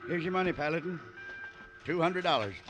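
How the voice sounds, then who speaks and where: A man's voice: fairly high pitch, animated, brisk, music in the background, natural voice. An actor playing a plainspoken, grateful western townsman in vintage radio drama style. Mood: sober, grateful. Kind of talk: 1950s radio western acting.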